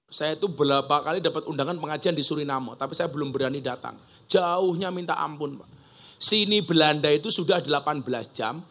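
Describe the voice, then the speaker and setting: A man's voice: a little high, sharp tone. A man preaching a humorous sermon to a gathering, joking and mimicking regional dialects. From Java. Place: outdoor religious school gathering.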